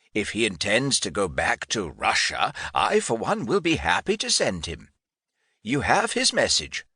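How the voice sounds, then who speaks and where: A man's voice: medium pitch, sharp tone, breathy edge, no room sound, clean studio sound, pitched above the performer's own voice, heavily acted. A man voicing an irascible grey-bearded English lord and commander in an audiobook, speaking formally and with imperious emphasis.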